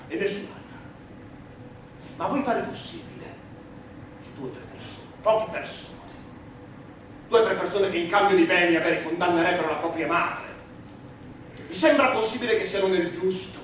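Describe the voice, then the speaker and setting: A distant, heavily echoing stage recording with loud noise. A man performs a condemned prisoner pleading before the court, defiant and wounded. The voice is slightly high for a man, with insistent rhetorical repetitions. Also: lightly acted. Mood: solemn, defiant, then indignant. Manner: emphatic repetitions, rhetorical oratory. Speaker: stage actor. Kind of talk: theatrical monologue and dialogue in a stage play for radio.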